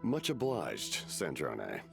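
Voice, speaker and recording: A man playing a grave, imposing gentleman officer in a video game, formal and very polite, with music behind him. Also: a bass voice, huge pitch swings, slow pace, gravelly, exaggerated voice. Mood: solemn, courteous. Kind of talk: scripted game voice acting.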